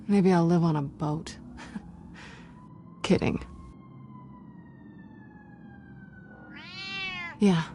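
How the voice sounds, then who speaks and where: A woman's voice: fairly low pitch, a little mellow, slight background noise, close to an everyday voice. An actress playing a wry, independent, street-smart young American woman, blunt and guarded, in a video game drama. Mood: wry, resigned. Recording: music behind the voice. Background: music.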